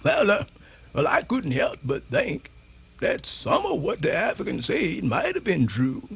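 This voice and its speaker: Radio theatre: animated old Southern US storyteller, creaky high voice, folksy, wandering delivery with big pitch swings. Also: male voice, a little slow, exaggerated voice. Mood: bemused, gossipy. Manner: rambling, folksy, theatrical hesitations and repetitions. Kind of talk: radio theatre folktale monologue.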